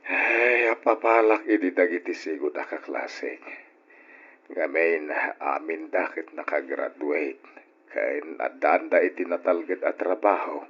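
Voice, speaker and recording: A man's voice: sits low, a little slow, fairly bright tone, breathy edge, full character voice. Humble father, muffled radio drama. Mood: regretful. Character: humble hardworking family father, proud and wistful. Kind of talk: Ilocano radio drama acting. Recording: dull lo-fi radio recording.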